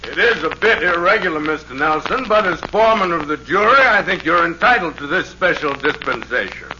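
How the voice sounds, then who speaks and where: An orotund, officious judge, voiced by a man in a vintage radio comedy. He declaims formally in a high voice, through the dull, noisy sound of an old broadcast. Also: lively intonation, brisk, close to an everyday voice. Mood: gracious, flattering. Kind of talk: 1940s radio comedy acting.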